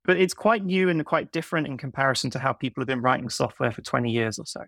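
Male podcast guest, British-American accent, medium pitch with noticeable creak, casual explainer. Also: lively intonation, fast, fairly bright tone, no room sound, studio quality. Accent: blend of British and American. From United Kingdom. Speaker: podcast guest, software consultant. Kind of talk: casual explanatory interview talk.

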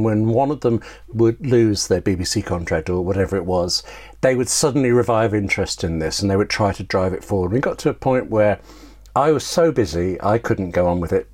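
A man's voice: fairly low pitch, a little mellow. A man talking casually as an interview guest, telling anecdotes in a wry, self-deprecating way with rambling asides. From Britain.

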